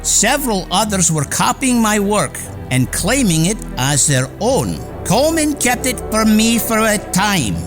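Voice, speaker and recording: An actor playing a courteous, proud inventor in a radio theater drama, with formal, measured, old-fashioned speech. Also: male voice, sits high, very animated. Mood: proud, reflective. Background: music.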